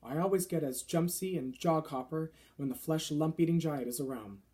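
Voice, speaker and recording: Man voicing a gentle, soft-spoken friendly giant. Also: on the high side, normal speed, natural voice. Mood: nervous. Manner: soft-spoken, quaint mangled grammar and nonsense words. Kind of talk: children's storybook reading.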